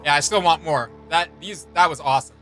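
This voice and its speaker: Bright-voiced, enthusiastic young man. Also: on the high side, fairly quick, faint music behind. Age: young adult. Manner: enthusiastic, slangy. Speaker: reaction video host. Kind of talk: casual reaction commentary. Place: indoor home studio room.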